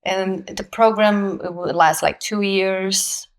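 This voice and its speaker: A woman's voice: medium pitch, takes it slowly. Brazilian woman telling her story. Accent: Brazilian Portuguese. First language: Portuguese. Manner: animated storyteller, laughs often, acts out quoted voices. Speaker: guest, actress. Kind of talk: casual interview conversation.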